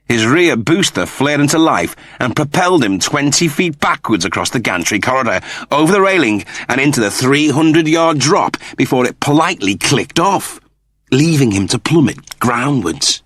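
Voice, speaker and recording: A man reading scripted fiction as an audiobook narrator, with animated, theatrical storytelling. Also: mid-range voice, no echo, studio quality.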